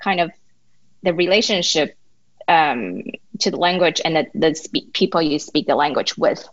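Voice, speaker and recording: A woman from Taiwan whose first language is Mandarin, speaking English as a podcast guest in a reflective, halting way with many restarts. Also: sits low, dry recording, lo-fi sound. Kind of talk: casual interview.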